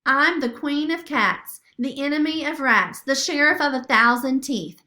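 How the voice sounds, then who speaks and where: A woman's voice: high-pitched, a little slow, sharp tone, pitched above the performer's own voice. A woman voicing a boastful, fierce female cat in a children's storybook, bragging and dramatic as a bossy mentor.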